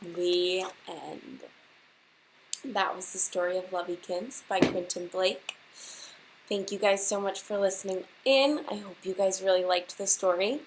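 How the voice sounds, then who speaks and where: A woman reading a children's storybook aloud like a teacher to a class, expressively and deliberately, putting questions straight to her young listeners. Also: mid-range voice, steady tone, noisy background.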